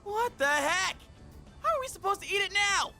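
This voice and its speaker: Slangy, animated teenage slacker guy. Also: extremely high pitch, normal speed, full character voice. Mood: exasperated. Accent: American. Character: laid-back goofy teenage boy, self-conscious slacker buddy. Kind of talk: video game voice acting. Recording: music behind the voice. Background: game music.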